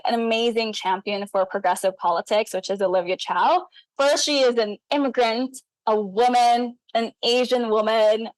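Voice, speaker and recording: A young woman from the Philippines speaks as a guest in a relaxed interview, earnest and upbeat, laughing often. Her voice sits on the higher side. The sound is dry and close to the mic. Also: dry recording, poor audio. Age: young adult. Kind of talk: casual interview.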